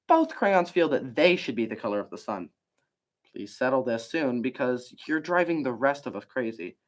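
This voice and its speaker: A man's voice: medium pitch, sing-song, moderate pace, natural voice. A man voicing a cheerful, content crayon from a children's picture book, polite and upbeat in a formal way.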